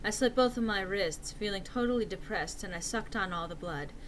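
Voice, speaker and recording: A woman's voice: mid-range voice, fairly quick, slight background noise, rough recording. A woman narrating a comedic dramatic reading of fan fiction, with theatrical, exaggerated emphasis.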